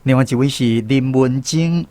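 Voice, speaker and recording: A man's voice: mid-range voice, moderate pace, mellow. Male radio host, Taiwanese accent, mid-range voice, presenting a language quiz segment.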